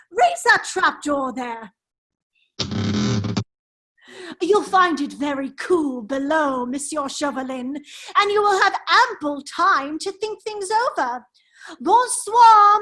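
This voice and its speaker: A foppish, secretly daring gentleman played by a woman in a radio drama. The voice sits extremely high and takes its time, with affected courtesy and a giggly, teasing edge. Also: expressive, slow, exaggerated voice. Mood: triumphant. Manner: affectedly polite, drawling, giggly. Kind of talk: theatrical radio drama table read.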